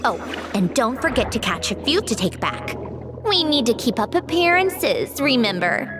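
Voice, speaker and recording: A woman voicing an aloof, guarded, businesslike young woman with a crisp, reserved delivery in a video game. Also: on the high side, sing-song, moderate pace, over music.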